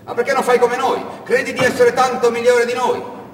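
A loud, bossy friend, acted out by a man in a radio story, pitched up high and hectoring with scornful questions. The voice rings in a roomy hall, some way from the mic. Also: completely flat delivery. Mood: scolding. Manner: hectoring, rapid rhetorical questions. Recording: echoey hall, distant mic, background noise.

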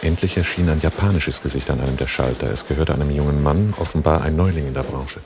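A man's voice: rumbling low, fast talker, over music. An actor playing a curt, world-weary man on the run in a German radio play, speaking and narrating tersely and dryly. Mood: businesslike. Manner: terse, dry, laconic.

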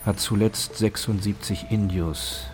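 Actor as low, sober documentary narrator. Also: male voice, steady tone, measured pace, close to an everyday voice. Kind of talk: scripted documentary narration in radio drama. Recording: clear ambient noise behind narration.

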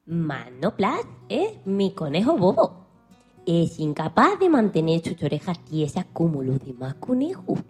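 Woman playing a husky, animated, disdainful child storyteller. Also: lowish voice, measured pace, faint music behind, exaggerated voice. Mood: mocking, disdainful. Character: disdainful child narrator complaining about a silly pet rabbit. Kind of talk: radio play storytelling for children.

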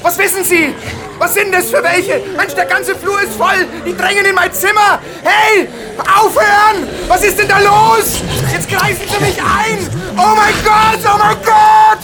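A man's voice: very high voice, level tone, shouting, over music, crowd noise. A man acting a frightened, stammering police inspector in a radio drama. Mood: panicked screaming. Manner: stammering, halting, increasingly panicked. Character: frightened male police inspector reporting from a massacre.

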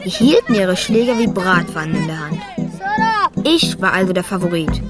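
A woman's voice: lowish voice, animated, normal speed, natural voice. Woman playing an earnest refugee boy narrator over music. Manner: simple, reflective storytelling. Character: young Afghan refugee boy narrating his childhood, earnest. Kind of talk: scripted radio play narration. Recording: music and crowd noise behind.

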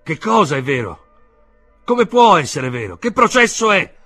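A man voicing an agitated, domineering older uncle in an audiobook, exclaiming loudly and insistently with indignation. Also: high voice, sing-song, normal speed, breathy edge, faint music behind, pitched above the performer's own voice, exaggerated voice. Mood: alarmed, outraged.

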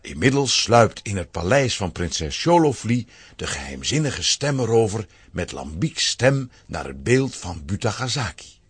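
Animated, husky male storyteller. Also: lowish voice, unhurried, no echo. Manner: storytelling, dramatic emphasis. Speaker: narrator. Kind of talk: scripted children's story narration.

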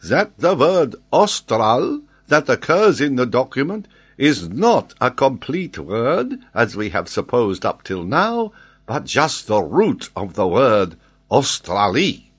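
A man voicing an excitable, triumphant French geographer who argues emphatically and draws out his key words. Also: medium pitch, takes it slowly, breathy edge, voice pushed up, full character voice. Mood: excited, insistent.

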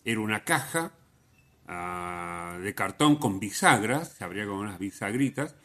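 A man hosting a radio show, telling music stories unscripted in a halting way, repeating phrases for emphasis. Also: fairly low pitch, fairly bright tone. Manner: halting, with frequent repetition for emphasis. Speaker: radio host.

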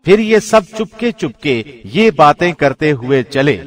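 Man, somewhat high voice, formal radio announcer delivery, measured scripted reading of translated scripture. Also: sing-song. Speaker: translation reader, radio presenter.

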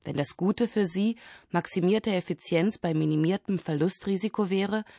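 A woman's voice: medium pitch, darkish tone, no echo, studio quality. A woman doing a scripted literary reading for radio. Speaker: reader of excerpts and summaries.

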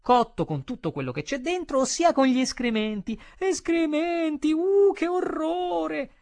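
Man putting on a high-pitched fussy diner. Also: expressive, a little slow, vocal fry, a little mellow, full character voice. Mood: disgusted.

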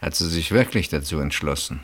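An actor in a German radio play voicing a coolly ironic, probing husband with measured, drawn-out phrasing. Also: male voice, rumbling low, lively intonation, fairly bright tone, heavily acted.

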